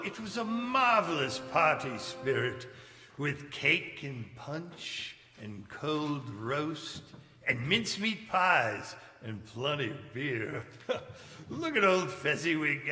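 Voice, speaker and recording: Casting: male actor as wistful old miser stirred by memory; highish, bright, halting, unhurried; echoey live hall. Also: very animated, faint music behind, full character voice. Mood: delighted nostalgia. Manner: halting, exclamatory, drawn-out delivery. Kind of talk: live radio-play stage acting. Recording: echoey hall, long reverb, live stage.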